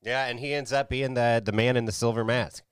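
A man's voice: mid-range voice, fairly even intonation, fairly quick, clear, resonant tone, no echo, studio quality. A man co-hosting a movie podcast, laid-back, going through his notes with a laugh. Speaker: podcast co-host. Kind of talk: casual movie review chat.

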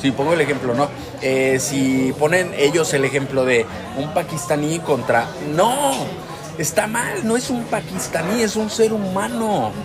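A man's voice: on the high side, measured pace. A man with a Mexican accent in opinionated, casual discussion, speaking in an earnest, emphatic way and often correcting himself. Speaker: co-host of a discussion show. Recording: music and crowd noise behind, indoor public space. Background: music and chatter.

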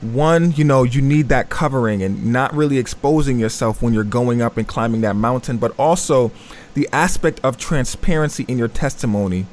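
A man hosts a panel talk in a reflective way. He picks up his guests' points and affirms them warmly before moving on. His pitch sits in an ordinary middle range. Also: moderate pace. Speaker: host.

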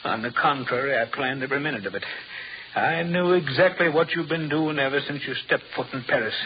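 A man's voice: mid-range voice, brisk, lightly acted. An actor in an old radio suspense drama playing a menacing older man bent on revenge, gloating and sardonic, with theatrical, mocking emphasis. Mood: vengeful, gloating. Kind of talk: 1940s radio suspense acting. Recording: muffled, hissy old-time radio recording.

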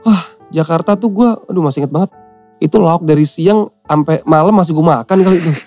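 A man's voice: fairly high pitch, brisk, darkish tone, faint music behind. A young man from Central Java telling his story in casual slangy talk and acting out the voices he quotes. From Central Java, Indonesia. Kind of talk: casual podcast chat, storytelling.

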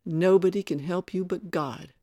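A woman's voice: fairly low pitch, fairly even intonation, normal speed, no echo, natural voice. Casting: woman narrator as righteous angry male musician, emphatic and accusing, audiobook western romance, dry recording. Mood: angry, commanding. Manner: loud, emphatic, accusing declarations. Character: angry righteous cowboy musician, forceful man.

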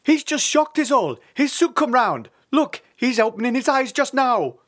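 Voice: sits high, very animated, moderate pace, pitched above the performer's own voice. A storyteller voicing a colossal, proud father osprey with an emphatic delivery. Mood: reassuring.

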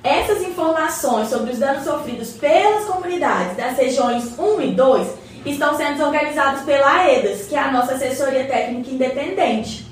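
A woman's voice: high voice, animated, moderate pace. A Brazilian woman playing a well-informed, encouraging neighbour who patiently explains things in colloquial radio drama dialogue. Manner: explanatory, colloquial, addresses her neighbour respectfully. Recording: roomy echo, distant mic, faint noise.

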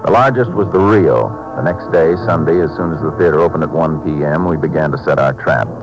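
An actor playing a steady, businesslike Texas Ranger in a 1950s radio police drama, clipped and matter-of-fact. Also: male voice, a bass voice, brisk, dull audio, music in the background. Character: steady, businesslike male Texas Ranger narrating his case. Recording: old 1950s radio recording.

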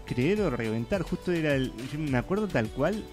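A Uruguayan man hosting a studio chat, telling animated anecdotes. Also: a little high, normal speed, faint music behind. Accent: Uruguayan Spanish. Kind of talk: casual chat.

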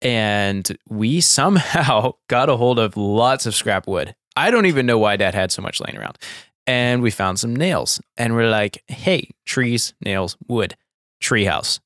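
Brief: young male podcast co-host, loose rambling storyteller, mid-pitched, close dry mic. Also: animated, measured pace, fairly bright tone, no room sound. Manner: rambling, laughs often, says like a lot. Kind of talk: casual storytelling chat.

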